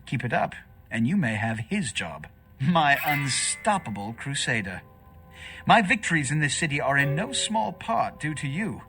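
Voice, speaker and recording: An actor playing a haughty, scheming vampire prince in a video game, with pompous, formal, imperious speech. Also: male voice, medium pitch, big pitch swings, moderate pace, over music. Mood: smug, gracious. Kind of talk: theatrical video game voice acting.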